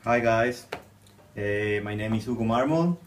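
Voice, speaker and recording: A man's voice: medium pitch, normal speed. A young man from Colombia introducing himself in a friendly, light way in a small office. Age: young adult. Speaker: student volunteer photographer. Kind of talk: casual self-introduction. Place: small office with green walls. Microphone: far camera. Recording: distant camera, faint hiss.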